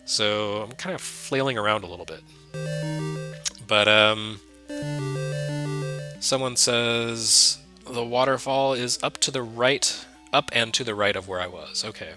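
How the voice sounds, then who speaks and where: A soft-spoken man giving loose, self-deprecating commentary while streaming a retro game, full of fillers and restarts. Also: medium pitch, a little slow. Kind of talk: casual live-stream commentary. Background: game music under the voice.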